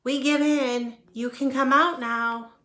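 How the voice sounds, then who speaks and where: Storybook elephant leader, female reader: male, patient, kindly teasing, gently in charge, slow delivery. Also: high voice, steady tone, voice pushed up, exaggerated voice. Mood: calling, unbothered.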